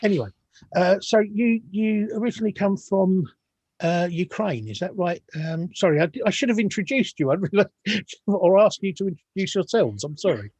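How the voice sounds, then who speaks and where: A man's voice: high voice, lively intonation, normal speed, darkish tone, slightly rough, no room sound. A man hosting a music podcast, chatting casually, with many restarts and apologetic asides. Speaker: podcast host. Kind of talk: casual interview chat.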